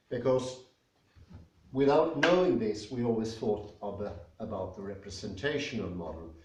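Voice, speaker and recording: A man's voice: on the low side, a little mellow. Swedish-accented man lecturing in an echoey room. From Sweden. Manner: thoughtful, pausing with fillers. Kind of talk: spoken lecture. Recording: echoey room, mic at a distance.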